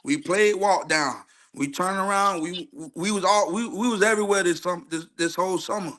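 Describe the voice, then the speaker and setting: A man with a slightly high voice talks as a coach on a casual sports show. He argues emphatically in street slang, hammering points home by repeating phrases. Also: normal speed, a bit bright. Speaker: youth football coach, show guest. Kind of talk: casual, argumentative sports chat.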